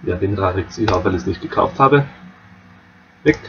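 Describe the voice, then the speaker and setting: A man with an Austrian accent walking viewers through a game map editor, relaxed and explaining step by step. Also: deep voice, normal speed, dull audio, background noise. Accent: Austrian German. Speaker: modding tutorial host. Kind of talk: screen-recorded software tutorial.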